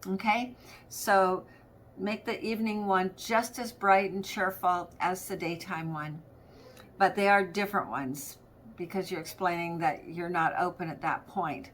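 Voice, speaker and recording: A woman's voice: lowish voice, steady tone, measured pace, fairly bright tone, a little hiss or hum. Middle-aged woman giving an informal training talk. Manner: conversational, anecdotal, acts out example phone greetings. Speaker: practice management coach presenting a training episode.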